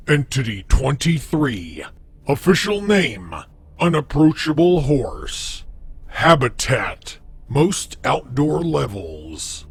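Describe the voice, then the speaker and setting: Slightly hoarse man reading an entry. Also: medium pitch. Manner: measured, deliberate reading with slow section headings. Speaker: narrator. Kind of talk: reading a scripted wiki entry.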